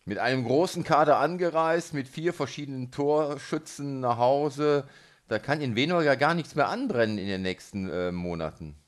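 A man's voice: mid-range voice, animated, normal speed. A man hosting a local radio sports interview, putting questions as the interviewer.

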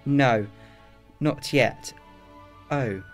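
A man's voice: mid-range voice, extremely slow, faint music behind. A man playing a serious, hesitant time traveller in a full-cast audio drama. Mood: puzzled.

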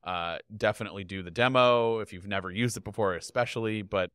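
A man in his thirties, a guest on a video podcast, talking casually and animatedly into a boom microphone in his home studio. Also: brisk, smooth, no room sound. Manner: animated, gestures a lot while talking. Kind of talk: casual chat. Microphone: podcast mic on boom arm.

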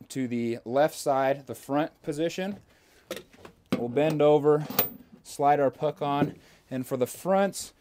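A young man walks through a hands-on equipment demonstration, speaking at a middle pitch in a steady, practical way with the odd "um" and "uh." He talks as he works inside a small parked bus, close to a small mic. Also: slight background noise. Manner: steady step-by-step instruction with fillers.

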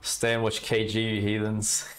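A young Australian man casually commenting on his card game and chatting with viewers, relaxed and talkative. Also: fairly low pitch, normal speed, faint music behind. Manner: relaxed, chatty, talks to chat while playing. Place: indoor room at a desk.

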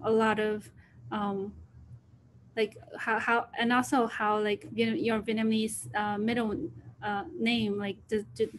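A woman interviewing for an oral history project, asking questions hesitantly with many pauses and fillers over a video call. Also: fairly high pitch, slight background noise.

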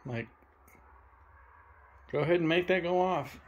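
A young man filming a ghost-hunting vlog in a dark abandoned farmhouse, talking to spirits and loudly challenging them. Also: on the high side, measured pace, muffled recording. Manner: loud provoking challenges to the spirits. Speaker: paranormal investigator.

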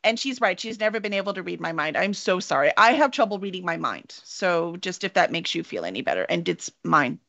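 A woman's voice: on the low side, sing-song, a little fast, creaky, few pauses, a bit bright. A woman hosting a casual chat with her tabletop players over a video call, rambling and self-deprecating. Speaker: game master and show host. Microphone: video call webcam. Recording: dull lo-fi webcam audio.

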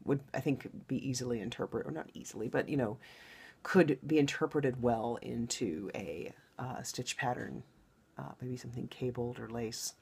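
A middle-aged woman hosting her own podcast, chatting in a rambling way with a low, husky voice from a recent loud wedding, recorded at home. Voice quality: low baritone voice. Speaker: podcast host. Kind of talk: casual podcast monologue.

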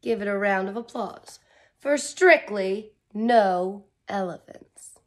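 Slow-paced woman reading to kids. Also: medium pitch. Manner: expressive, exaggerated wonder, simple child-directed phrasing. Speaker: teacher reading aloud to children. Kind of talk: picture-book read-aloud with commentary for young children. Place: small indoor room, curtained corner.

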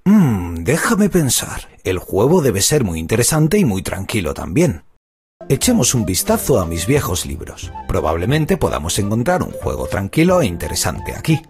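A man's voice: fairly low pitch, wildly swooping pitch, moderate pace, a little mellow, faint music behind. A man voicing a kindly, thoughtful hippo father in a children's cartoon.

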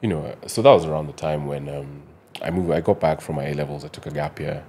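A Kenyan man in his thirties, a podcast guest explaining things in a measured way, often adding "you know", recorded on a studio mic. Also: very deep voice, darkish tone, a little hiss or hum. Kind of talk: conversational interview. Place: studio with neon sign, indoors. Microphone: podcast mic on boom arm.

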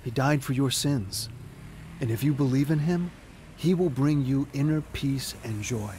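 An actor playing a kindly, assured street evangelist, reassuring and earnest, in an audio drama. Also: male voice, medium pitch, a little slow, mellow, slight background noise, natural voice.

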